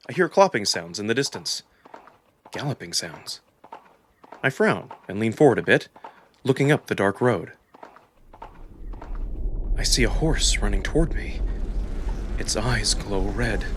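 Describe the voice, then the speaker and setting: A man's voice: on the low side, fairly bright tone, a little hiss or hum. A man playing a feverish, fearful young man, narrating his nightmares in an intense, emotional first-person style.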